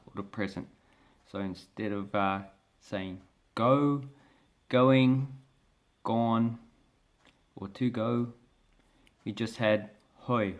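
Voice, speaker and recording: An Australian man giving a casual spoken lesson to camera, talking in a relaxed, hesitant way with many fillers and restarts as he shows pages from a book. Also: lowish voice. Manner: explains by showing pages from a book. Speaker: language vlogger.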